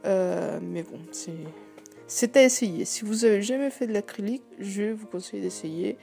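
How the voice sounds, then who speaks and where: A hoarse young woman with a sore throat, talking softly and hesitantly as she hosts her painting vlog. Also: mid-range voice, moderate pace, faint music behind. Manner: speaks softly, hesitant with many fillers. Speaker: art channel host. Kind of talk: casual vlog voice-over about painting.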